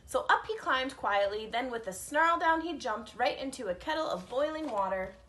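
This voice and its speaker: A woman reading a picture book aloud to children as a teacher, in an expressive storytelling style. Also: high voice, normal speed, slight background noise.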